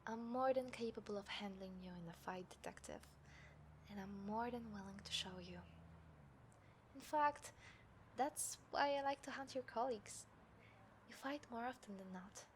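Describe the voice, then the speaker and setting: A woman playing a taunting, confident serial killer in a scripted audio roleplay, speaking to the listener with smug, deliberate menace. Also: mid-range voice, slight background noise, close to an everyday voice. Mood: quiet menace. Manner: smug, menacing, deliberate, dropping into breathy whispers. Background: city ambience sound effects.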